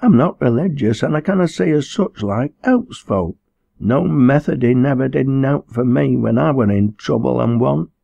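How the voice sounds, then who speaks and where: A man's voice: on the low side, measured pace, vocal fry, mellow, breathy edge, no echo, dull audio, pitched above the performer's own voice, heavily acted. A man voicing a frightened, weak young working-class mother in an audiobook, faltering and aggrieved in a Lancashire accent. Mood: aggrieved, whimpering. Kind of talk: audiobook character dialogue.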